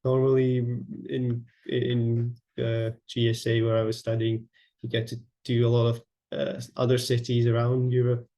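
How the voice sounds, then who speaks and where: A young man from Latvia presenting his architecture project, halting with many fillers and restarts. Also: medium pitch, monotone, dark tone. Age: young adult. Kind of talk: online presentation.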